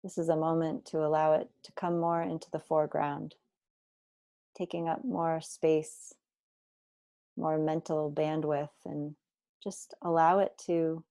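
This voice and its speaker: A woman guiding a body scan meditation, speaking slowly and deliberately with gentle instructions and long pauses. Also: low-pitched, dry recording. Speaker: meditation teacher.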